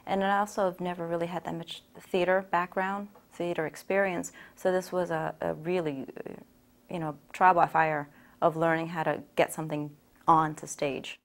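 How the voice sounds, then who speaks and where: A woman's voice: on the low side, flat delivery, moderate pace. A woman taking part in a workshop, talking informally in an interview about learning to write and stage work. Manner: informal, with fillers and restarts. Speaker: workshop participant. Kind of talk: casual interview.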